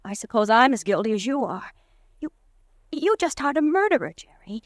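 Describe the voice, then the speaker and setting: A woman's voice: a little high, big pitch swings, no room sound, studio quality. An actress playing a practical, firm girlfriend steadying her partner in an old radio drama. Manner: brisk, firm, reassuring. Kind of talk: 1940s radio acting.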